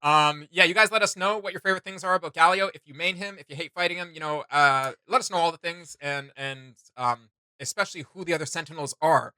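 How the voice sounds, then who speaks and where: A man's voice: fairly high pitch, quick pace, few pauses, bright, forceful tone, clear, resonant tone, no room sound. A man hosting a casual fan reaction chat, talking in a rambling, enthusiastic way with playful sound words. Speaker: reaction video host.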